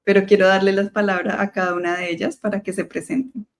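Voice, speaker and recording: A woman's voice: medium pitch, little pitch movement, quick pace. A woman hosting a podcast, opening the show and asking friendly questions. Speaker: podcast host.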